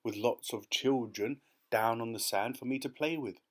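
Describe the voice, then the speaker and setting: A man's voice: a little high, very animated, normal speed, voice pushed up. A man voicing a young boy, eager, confident and hopeful, in a children's storybook.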